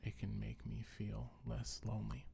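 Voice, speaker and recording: A man with a low, mellow voice speaks softly in an ASMR style, often dropping into a whisper. His delivery is very husky and breathy, with gentle, soothing reassurance throughout. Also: monotone, moderate pace. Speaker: ASMR creator reading a comfort script. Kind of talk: ASMR affirmations, soft-spoken and half-whispered.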